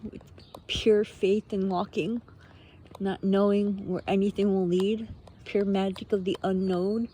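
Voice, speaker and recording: A woman talks slowly and reflectively, letting her phrases hang and repeat as she thinks aloud. Her voice is soft-edged and mellow. She is outdoors, with faint natural noise and birds around her. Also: mid-range voice, flat delivery. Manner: musing, halting, drawn-out phrases. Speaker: host of a personal walking monologue. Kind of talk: unscripted reflective monologue.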